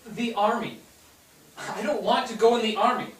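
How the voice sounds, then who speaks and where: A man's voice: high voice, steady tone, normal speed, close to an everyday voice. A man on stage playing a hurt, defiant eighteen-year-old son in a family drama. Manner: respectful, then bitter and protesting. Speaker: stage actor. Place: indoor theatre stage, recorded from afar. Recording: roomy theatre, distant mic, hissy background.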